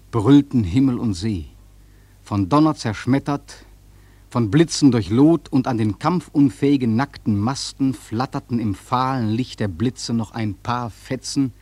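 A grave sailor narrates a radio drama in a very husky voice. His delivery is solemn, measured and literary, in a very dry, close sound. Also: medium pitch, darkish tone, no echo. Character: male sailor recounting a terrible storm, grave, awed.

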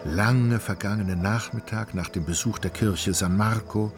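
A man narrating a literary radio drama in a measured, wry, ornate storytelling manner. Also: on the low side. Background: music.

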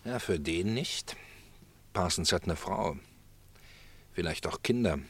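Needling bar regular, lively pitch swings. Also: male voice, slow, slightly rough, exaggerated voice. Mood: goading. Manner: sly, teasing, insinuating. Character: needling, ironic male regular at a snack bar. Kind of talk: German radio drama acting.

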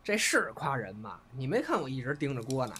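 Cheeky, lively young northern Chinese man. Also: medium pitch, fairly quick, slight background noise. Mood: playful, defensive. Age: young adult. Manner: teasing, excitable. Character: playful, cheeky, love-struck young man. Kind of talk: audio drama acting.

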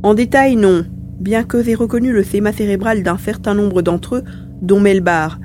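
Over a faint hum, a woman acts out a small male mouse-beaver mutant from a science fiction audio serial. The creature is playful and talkative, with a soft, mellow voice of middle pitch. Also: steady tone, moderate pace, natural voice. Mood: chatty, amused. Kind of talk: acted dialogue in an amateur audio serial. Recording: faint background hum and noise.

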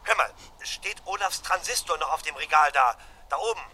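An actor playing a tense, impatient husband giving urgent, clipped instructions in a German radio crime play. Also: male voice, on the high side, animated, brisk, pushed and bright, full character voice.

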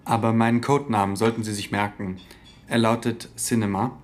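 An actor playing a businesslike French resistance leader in a radio drama, explaining things in a matter-of-fact way. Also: male voice, lowish voice, little pitch movement, a little fast, a little hiss or hum, close to an everyday voice. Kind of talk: naturalistic German radio drama acting.

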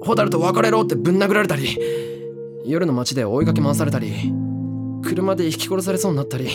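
An actor voicing a brash young man who loves danger, slightly higher-pitched than most men. He talks in slangy, clipped casual speech, with music playing clearly behind him in this drama CD scene. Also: animated, fairly quick, close to an everyday voice. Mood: uneasy. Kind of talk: drama CD acting. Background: background music.

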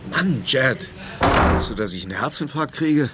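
An actor playing a gruff, wry police lieutenant in a radio drama. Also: male voice, medium pitch, animated, a little slow, lightly acted. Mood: grumbling. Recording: clearly noisy background.

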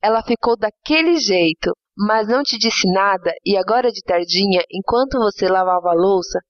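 A woman's voice: medium pitch, dry recording, natural voice. A woman playing a blunt, uneasy housemaid in a dramatic short-story reading, with colloquial, emphatic speech. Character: blunt, uneasy housemaid telling a boy hard truths. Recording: dull lo-fi audio with music underneath.

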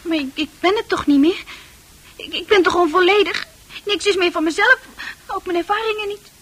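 A woman's voice: very high voice, moderate pace, a bit bright. A woman playing a bewildered young psychiatric patient in a radio drama, anguished and stammering as she repeats herself. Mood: anguished, pleading.

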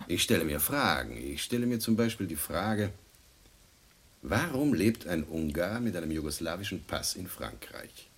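An actor playing a menacing, cynical man in a radio play, husky, insinuating and condescending as he probes. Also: low voice, slight background noise, exaggerated voice.

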